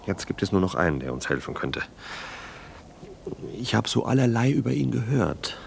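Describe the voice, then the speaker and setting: An actor playing a domineering, scheming police inspector in a radio play, needling and coaxing with leading questions. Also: male voice, lowish voice, sing-song, moderate pace, creaky, a little hiss or hum, heavily acted.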